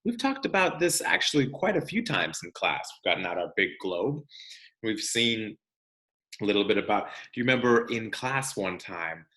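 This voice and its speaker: A man reading a children's science book aloud as a teacher, expressive and explanatory, speaking directly to young students. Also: lowish voice, normal speed, roomy sound.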